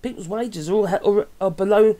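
A man's voice: high voice, brisk, warm tone. A man in his fifties with an English accent, giving casual, opinionated commentary in a rambling, chatty way, often correcting himself. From England. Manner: rambling, chatty, with tag questions and self-corrections. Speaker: vlogger commenting on news. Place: small wooden cabin room with open window.

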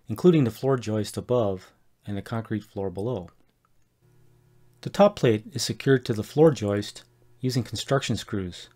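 A middle-aged man calmly reading a scripted, methodical voice-over that explains his home project step by step. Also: fairly low pitch. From Colorado, USA. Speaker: homeowner explaining a DIY project.

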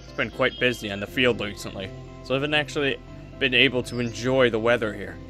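A man acts out a fatherly veteran exorcist in a Minecraft roleplay. His voice is bright and of middling pitch, relaxed and reassuring, with a firm side. Faint music plays underneath. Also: fast. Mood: calm, fatherly. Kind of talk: improvised Minecraft anime roleplay.

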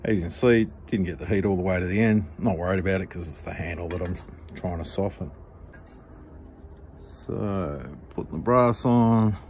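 A middle-aged Australian man, a knife maker, explaining his work step by step in a relaxed, practical way while he works at the bench. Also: sits low, normal speed, slight background noise. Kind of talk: workshop tutorial voice-over. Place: home workshop.

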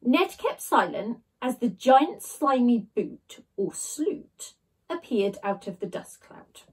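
A woman's voice: a little high, sing-song, slow, husky, low-quality recording. A woman in her thirties, a teacher, reading a storybook expressively and chatting casually. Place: small bedroom at home, indoors. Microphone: device camera at desk distance.

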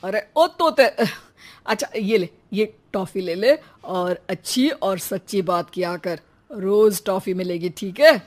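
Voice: medium pitch, slow pace. A performer voicing a principled, exasperated elderly father in a cartoon, speaking in a chiding, moralizing manner. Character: principled, exasperated elderly father, grey-haired family patriarch. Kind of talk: animated cartoon dialogue.

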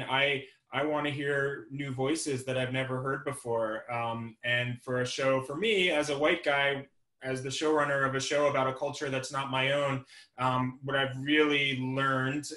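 A man talking as a showrunner in a panel discussion, measured and candid, recorded over a video call in an echoey room. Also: medium pitch, pushed and bright.

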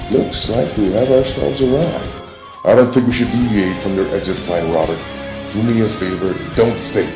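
A man playing an irritable, bickering armed robber in a comic-book dub, gruff and snappish. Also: fairly low pitch, moderate pace, over music, natural voice. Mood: urgent. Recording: roomy echo on the voice.

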